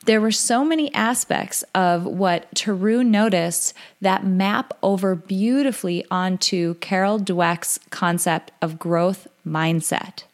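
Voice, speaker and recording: A woman's voice: mid-range voice, takes it slowly, hardly any pauses. A woman hosting a podcast, introducing her guest with enthusiastic, emphatic delivery. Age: adult parent. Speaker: podcast host.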